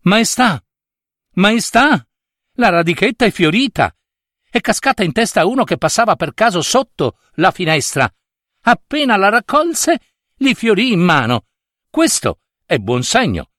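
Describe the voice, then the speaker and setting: A man voicing an excited, flustered royal minister in a fairy tale, urgent and exclamatory. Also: high voice, a little slow, dry recording, studio quality, pitched above the performer's own voice, heavily acted.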